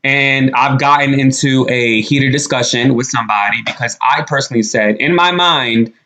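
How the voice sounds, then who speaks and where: A man's voice: medium pitch, moderate pace, sharp tone. A young man from the United States giving blunt, emphatic reaction commentary on his vlog, repeating points and swearing freely. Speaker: commentary vlogger.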